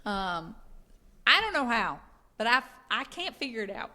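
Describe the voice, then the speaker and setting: A woman's voice: a little high, sing-song, moderate pace, pushed and bright, big-room sound. A woman co-hosting a book podcast, explaining things in an informal, chatty way. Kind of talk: casual book discussion.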